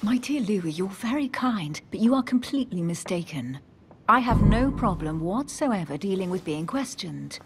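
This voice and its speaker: A woman's voice: mid-range voice, lively intonation, moderate pace, heavy background noise, close to an everyday voice. An actress playing a guarded, witty, self-possessed woman of a secret society in a video game, composed and articulate. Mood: cool, defiant. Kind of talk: dramatic video game dialogue. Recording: music under the voice.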